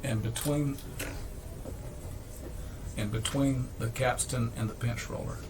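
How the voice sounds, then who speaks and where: A man casually walking through how to use a piece of home audio equipment, unhurried, with lots of fillers and restarts. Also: medium pitch, fairly even intonation. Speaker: equipment demonstrator. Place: home room with audio gear. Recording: distant mic with audible background noise.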